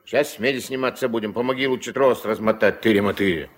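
An actor playing a gruff, terse old riverboat skipper and grandfather in a 1970s Soviet radio drama, giving orders in colloquial speech. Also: fast, close to an everyday voice. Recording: noisy old mono radio play, engine rumble.

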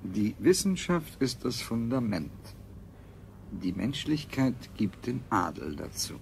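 Creaky-voiced, smug scientist man. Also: mid-range voice, very animated, slow, heavily acted. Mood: self-satisfied. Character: self-satisfied, high-minded male scientist and family man. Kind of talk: 1970s German science fiction radio drama acting. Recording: faintly noisy 1970s radio recording.

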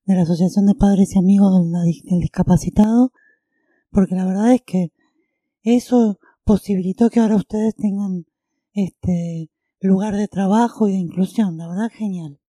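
Very mellow, dark-voiced woman hosting radio. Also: mid-range voice, fairly even intonation, normal speed, breathy edge, dry recording. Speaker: radio host.

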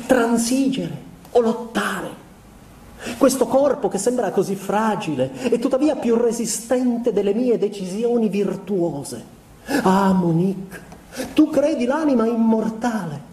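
Guilt-ridden young man confessing on stage, soft dark tone, measured and expressive, really roomy sound with some noise. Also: high voice. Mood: brooding, questioning. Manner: measured, expressive dramatic recitation. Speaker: stage actor performing a monologue. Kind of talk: literary theatrical monologue in a confessional tone. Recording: roomy echo, clear background noise.

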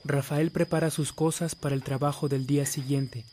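A man's voice: medium pitch, flat delivery, normal speed, darkish tone, slight background noise. A man narrating a radio drama from a script in a storytelling role.

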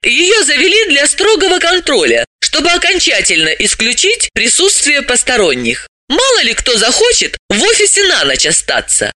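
Man acting self-assured woman sleuth, high lifted pitch, very bright tone, businesslike, mocking, audiobook dialogue. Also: wildly swooping pitch, moderate pace, few pauses, no room sound, clean studio sound, heavily acted. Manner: businesslike, emphatic, explaining. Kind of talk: audiobook character voice.

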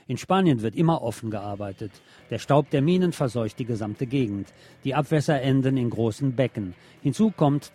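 A man's voice: mid-range voice, mellow. A man presenting on the radio, reading press articles aloud.

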